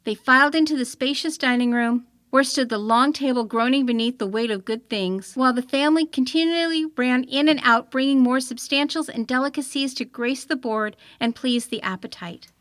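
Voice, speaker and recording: A woman reading an old-fashioned children's story aloud in an expressive storytelling manner. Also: high-pitched, moderate pace, hardly any pauses, no echo, studio quality. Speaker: storybook reader.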